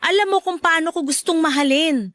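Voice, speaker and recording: A woman's voice: extremely high pitch, fairly quick, a bit bright, no room sound, studio quality. A woman playing an emotional, whiny girlfriend and mother in an audio love-story drama, with a Filipino accent and dramatic delivery. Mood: tender, reassuring. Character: emotional girlfriend, a mother, venting about her ex.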